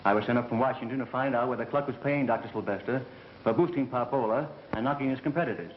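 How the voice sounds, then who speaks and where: An actor with an American accent playing a brisk, candid undercover federal man in a 1930s film. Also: medium pitch, close to an everyday voice. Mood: candid, explanatory. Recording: muffled, echoey, hissy 1930s film soundtrack.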